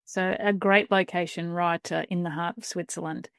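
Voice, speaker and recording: A woman's voice: on the low side, fairly even intonation, normal speed, dry recording, studio quality. A woman hosting a travel podcast, reading a scripted intro and then chatting with a guest. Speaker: podcast host.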